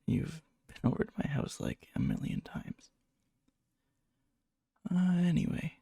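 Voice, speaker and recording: A man playing a needy, lovesick young best friend in an ASMR roleplay, speaking softly and hesitantly with a breathy, often whispered murmur and nervous stammers. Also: on the high side, huge pitch swings, a little slow, dry recording, studio quality, exaggerated voice. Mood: nervous, eager. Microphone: close microphone, dry.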